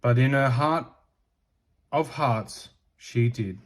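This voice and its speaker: A man reads a children's storybook aloud in expressive narration. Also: medium pitch, slow, slight background noise. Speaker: storybook reader.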